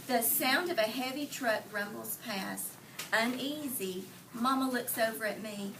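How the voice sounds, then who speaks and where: A woman teacher doing an interactive read aloud for young children, telling the story expressively with deliberate phrasing and pausing to put questions to them. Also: a little high. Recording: distant mic, clearly noisy room.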